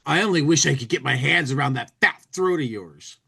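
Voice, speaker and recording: Man playing a captive hero, brash, sarcastic, taunting, vindictive, declamatory, animated pitch. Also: a little fast, gravelly, husky, heavily acted.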